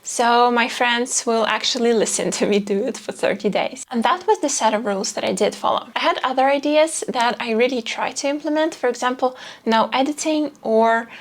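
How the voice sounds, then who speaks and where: A woman talking to camera in a candid, self-deprecating vlog, with a soft, quiet voice. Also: on the high side, moderate pace. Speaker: vlogger. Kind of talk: reflective vlog talk to camera.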